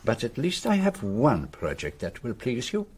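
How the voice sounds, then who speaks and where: An actor playing a cerebral, self-assured Belgian detective in a radio play, speaking precisely and formally with a French-speaking Belgian accent. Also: male voice, mid-range voice, wildly swooping pitch, darkish tone, breathy edge. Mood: measured, self-assured. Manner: precise, deliberate, formal phrasing. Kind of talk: radio drama acting.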